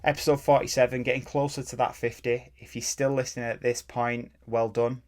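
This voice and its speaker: A man, a fitness coach, talks casually on his own podcast in a plain, even voice, rambling and correcting himself as he goes, and sometimes slipping into a mild rant. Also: mid-range voice, a little fast. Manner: rambling, self-correcting, drops into mild ranting. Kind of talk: casual solo podcast talk.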